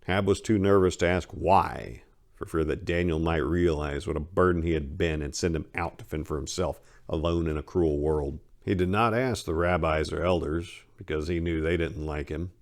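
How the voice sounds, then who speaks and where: A man's voice: very deep voice, steady tone, normal speed. A man giving a dramatic, scripted narration of a story. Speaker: author-narrator.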